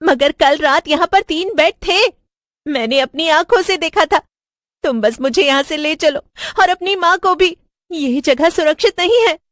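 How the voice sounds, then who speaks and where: An anxious young woman from a horror cartoon, acted out by a female narrator. Her voice sits high and she repeats herself nervously, pleading as she speaks. The sound is close and dry. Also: moderate pace, fairly bright tone, dry recording. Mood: panicked pleading. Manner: stammering, repeating words in panic. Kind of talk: horror cartoon story acting.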